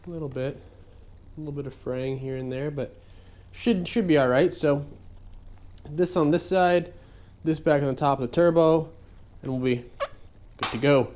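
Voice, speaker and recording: A man's voice: a little high, normal speed, pauses often, a little mellow. A man with a congested, slightly nasal voice talks casually through his car build while he works, heard through a head-mounted camera mic in a garage. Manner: laid-back, rambling, filler-heavy. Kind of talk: casual how-to narration while working. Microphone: head-mounted action camera.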